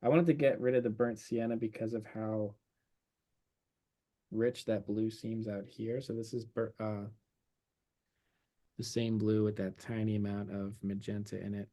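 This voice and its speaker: A man's voice: lowish voice, flat delivery, unhurried, long pauses, mellow. A man teaching a watercolor demonstration, thinking aloud as he paints, his voice a little worn from losing it. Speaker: painting instructor.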